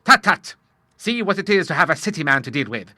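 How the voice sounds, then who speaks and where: A man's voice: on the high side, big pitch swings, fairly quick, sharp tone, dry recording, studio quality, voice pushed up, full character voice. A man voicing a hearty, boisterous old Admiral in an audiobook, bluff and full of exclamations. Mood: bluff, proud. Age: older. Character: hearty, blustering old Admiral, a proud father. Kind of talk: audiobook character voice.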